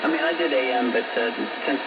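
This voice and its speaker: A man's voice: mid-range voice, a little fast. A middle-aged or older man chatting casually over two-way radio as an amateur operator, friendly, hesitant and a bit rambling. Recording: very noisy, muffled shortwave radio signal. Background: heavy radio static.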